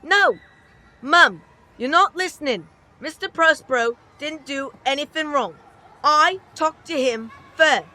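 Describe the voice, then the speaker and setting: A woman's voice: high-pitched, animated, a little slow, sharp tone, full character voice. A woman voicing a cheeky, stubborn young boy with a blunt, childlike manner in an audio drama. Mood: defiant, pleading.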